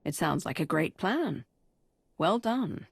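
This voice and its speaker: Low-voiced, commanding Sith woman. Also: big pitch swings, slow pace, creaky, breathy edge, exaggerated voice. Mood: decisive. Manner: assertive, cool. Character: commanding, decisive female Sith agent of the Empire. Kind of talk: video game voice acting.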